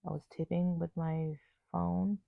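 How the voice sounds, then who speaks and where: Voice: mid-range voice, flat delivery, measured pace, creaky, no echo. An amateur reviewer of unclear sex giving a casual unboxing voice-over in short, plain, slightly hesitant sentences. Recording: muffled phone-like narrow sound.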